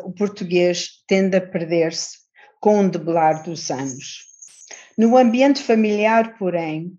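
A middle-aged woman from the Azores, raised in California, gives a conference talk from a prepared paper. Her voice sits a little low and her delivery is measured and reflective. The recording sounds dull and lo-fi. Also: mellow. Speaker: conference panel speaker. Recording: dull lo-fi audio.

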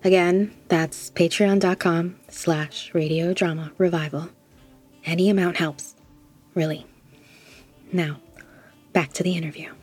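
A woman's voice: fairly low pitch, fairly even intonation, unhurried, long pauses, darkish tone, husky. A woman podcast host reading a scripted promotional announcement. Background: music.

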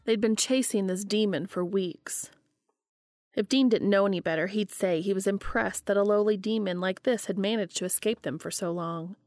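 A woman hosting a podcast, reading fan fiction aloud in a steady, even storytelling manner. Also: medium pitch, normal speed, no room sound. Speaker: podcast host and reader.

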